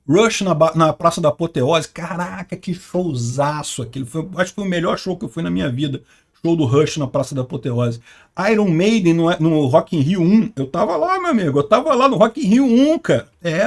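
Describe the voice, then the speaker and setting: A man's voice: sits high, gravelly. A man, hosting an opinionated solo commentary and talking in a rambling, conversational way full of asides. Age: 50s. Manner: conversational, rambling, with frequent asides and tag questions. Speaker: political commentary channel host.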